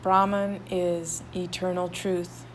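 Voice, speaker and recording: A woman leading a guided meditation, soothing, with drawn-out phrases and long pauses between them. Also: on the low side, level tone, a little hiss or hum.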